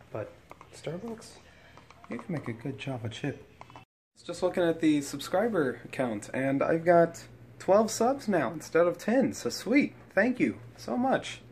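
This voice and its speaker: A man's voice: fairly high pitch, very animated, a little slow, darkish tone. Young man vlogging, noisy handheld recording. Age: young adult. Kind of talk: casual vlog chat. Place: home rooms, car and outdoors. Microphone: phone at arm's length. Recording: clear background noise, handheld phone.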